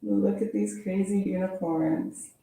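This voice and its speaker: A woman teacher reading a children's picture book aloud in a playful, expressive storytelling manner, adding little sound effects. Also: mid-range voice, measured pace, gravelly. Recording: very echoey room from far off.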